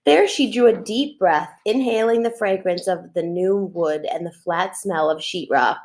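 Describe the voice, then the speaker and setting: A woman's voice: medium pitch, darkish tone. A middle-aged woman reading a children's chapter book aloud as a teacher would, recorded at a computer in a room at home. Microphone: computer mic at desk, webcam framing.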